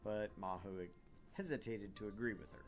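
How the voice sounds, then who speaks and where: A man's voice: fairly low pitch, normal speed, faint music behind. A man reading a visual novel aloud as narrator, dropping in wry, joking casual banter. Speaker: let's play host narrating a visual novel.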